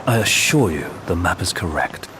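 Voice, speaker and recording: Posh young man, deep, dry and proper, game character, faint hiss behind. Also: big pitch swings. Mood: composed, dry. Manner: formal, measured wording. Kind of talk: video game voice acting.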